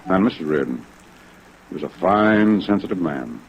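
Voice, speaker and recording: A man's voice: low voice, lively intonation, moderate pace. An American man acting an earnest, courteous but uneasy businessman in a 1950s radio drama, formal and emphatic. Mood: polite, appraising. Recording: muffled vintage radio recording, faint noise.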